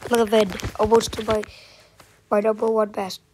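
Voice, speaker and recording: A young woman vlogging with a stuffy cold, sniffling, talking in unhurried bursts that jump into excited gasps and squeals. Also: mid-range voice, background noise. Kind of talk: casual screen-recording vlog.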